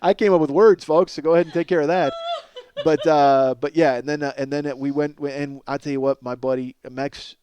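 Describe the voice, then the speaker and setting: A middle-aged man hosting a comedy podcast, telling stories in a casual, profane, self-deprecating ramble and exaggerating excitably for comic effect. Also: fairly high pitch, brisk, dull audio, background noise. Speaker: podcast host, comedic storyteller.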